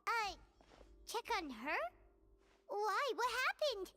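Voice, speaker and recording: A tiny childlike fairy sidekick in a video game. The voice is extremely high and squeaky, friendly and fretful, swinging up and down. Clear music plays behind. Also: female voice, big pitch swings, slow, pushed and bright, full character voice. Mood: worried, curious.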